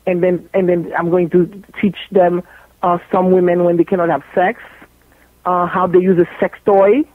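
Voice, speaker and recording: A woman's voice: fairly low pitch, little pitch movement, moderate pace, no room sound. A woman from Haiti with a French accent, a gynecologist guest in a radio interview, speaking bluntly and jokingly and repeating herself for emphasis. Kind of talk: animated radio interview talk. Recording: tinny phone-like line.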